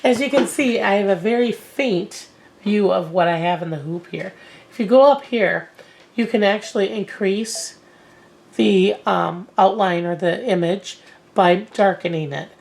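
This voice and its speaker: A woman in her forties talks through a sewing lesson while getting over a cold. She rambles in a relaxed way, with plenty of ums and false starts. The camera mic catches the sound of a cluttered home sewing room. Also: medium pitch, unhurried, gravelly. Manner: chatty, many fillers and restarts. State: recovering from a cold. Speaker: sewing instructor. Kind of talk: casual how-to demonstration.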